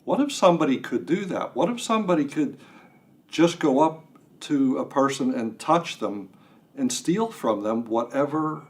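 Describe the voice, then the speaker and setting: An older man chatting as an interview guest, talking in a halting way with self-deprecating humor. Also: a little high, animated, darkish tone. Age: 60s or older. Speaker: guest writer. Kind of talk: casual interview. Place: radio studio.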